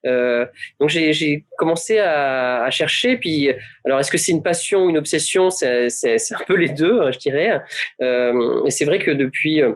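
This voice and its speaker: A man's voice: a little high, expressive, normal speed. A man from France, an author and illustrator, answering questions in a panel conversation, hesitant with many fillers and restarts.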